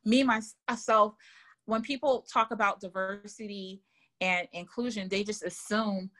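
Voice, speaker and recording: A woman's voice: mid-range voice, fairly even intonation, unhurried, fairly bright tone. Earnest woman guest who repeats words. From Germany. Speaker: author and guest. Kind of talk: podcast interview chat.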